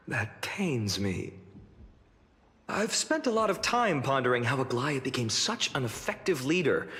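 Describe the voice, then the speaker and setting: A man's voice: medium pitch, fairly bright tone. A man playing a genial, talkative, teasing young scholar-adventurer in a video game, with a theatrical conversational manner. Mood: teasing, amused. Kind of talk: video game character acting. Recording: studio voice with long reverb.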